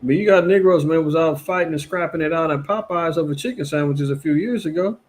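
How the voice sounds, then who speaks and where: A man speaks in a somewhat high voice. He rambles through casual reaction commentary, animated and emphatic, with lots of repeats and fillers. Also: fast. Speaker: commentary show host.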